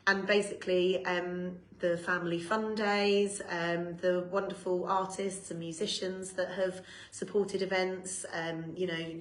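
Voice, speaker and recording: A woman's voice: fairly low pitch, flat delivery, measured pace, hardly any pauses. A woman, co-hosting a casual live-stream recap with a wry, chatty manner. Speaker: campaign co-host. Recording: echoey room, faint hiss.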